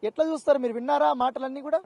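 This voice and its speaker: Brisk woman interviewer, darker tone. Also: sits high, fairly even intonation, vocal fry, a little mellow, no echo. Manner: code-mixes English words into questions. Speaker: interviewer for a news channel. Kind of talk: probing interview questions. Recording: faint background ambient noise.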